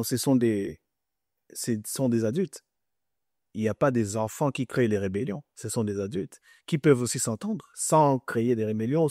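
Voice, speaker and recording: An earnest man from Goma in the Congo, talking in an interview with a mellow, dark voice. He speaks reflectively, repeating himself as he searches for words, recorded close and dry. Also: mid-range voice, dry recording. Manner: earnest, reflective, many repetitions and restarts. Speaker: musician guest. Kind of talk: interview conversation.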